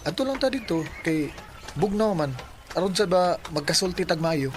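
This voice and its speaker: A nervous, lovestruck teenage schoolboy with a Cebuano accent. He is shy and halting, as in a radio drama. The track carries noticeable noise behind him. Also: high-pitched, animated. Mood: eager, coaxing. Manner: hesitant, halting.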